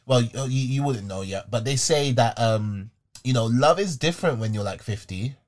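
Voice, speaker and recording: A man's voice: mid-range voice, expressive, normal speed. A man with a British accent chatting casually as a podcast co-host, often repeating words for emphasis. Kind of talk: casual chat.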